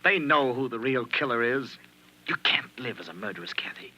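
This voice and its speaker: An actor playing a bitter, menacing husband who speaks with urgent melodrama in a 1940s radio play. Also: male voice, medium pitch, animated, normal speed, pushed and bright, poor audio. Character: bitter, menacing husband accusing his wife. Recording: vintage 1940s radio recording.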